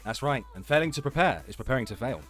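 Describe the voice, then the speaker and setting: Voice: medium pitch, expressive, quick pace, fairly bright tone. A livestream host chatting casually and reacting, with foul-mouthed, mock-indignant joking, over music.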